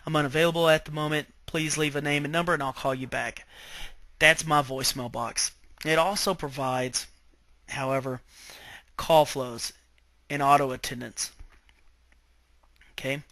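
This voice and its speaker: A man working as an IT trainer, talking through a software tutorial step by step in an informal way, often checking in as he goes. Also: a little high, fairly even intonation, normal speed, long pauses, dry recording. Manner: step-by-step explaining, checking in with okay. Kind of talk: informal instructional screen-share walkthrough.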